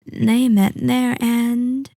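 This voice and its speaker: Woman as a slow, silky, sadistic vampire lady. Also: fairly high pitch, little pitch movement, creaky, dark tone, no room sound, studio quality, full character voice. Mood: mocking sweetness. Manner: silky, teasing, mock-polite, drawn-out menacing delivery. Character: sadistic, possessive female vampire lord toying with captive hunter. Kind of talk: ASMR roleplay acting.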